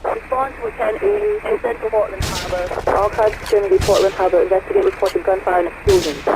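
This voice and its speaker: A police dispatcher over a game's radio effect. The delivery is urgent and clipped, high in pitch and wildly varied, buried in loud gunfire and noise. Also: male voice, very animated, fast talker.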